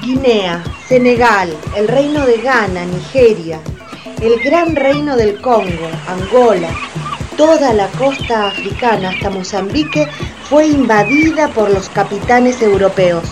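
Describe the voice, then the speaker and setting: A woman's voice: on the high side, animated, a little slow, music in the background. A woman giving scripted dramatic narration in a radio play.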